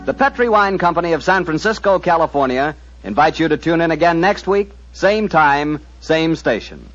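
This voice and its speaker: A man announcing on 1940s radio with a smooth, persuasive delivery. His voice sits a little high and moves a lot. The sound is dull and lo-fi, with faint music behind. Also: animated, moderate pace, fairly bright tone.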